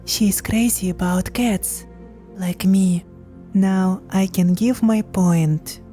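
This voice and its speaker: A young Ukrainian-accented woman speaks her learned English slowly and with care, pausing on fillers as she searches for words. Her tone is soft and mellow, with quiet music playing underneath. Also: medium pitch, fairly even intonation. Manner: careful non-native English with hesitant fillers. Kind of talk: personal vlog narration.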